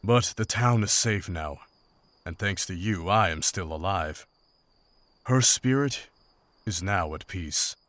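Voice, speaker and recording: Man playing a slow, grave monster hunter. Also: low voice, fairly bright tone, no echo. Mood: weary, solemn. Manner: measured, formal phrasing. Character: stern, pragmatic male monster hunter, world-weary, grave. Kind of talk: visual novel voice acting.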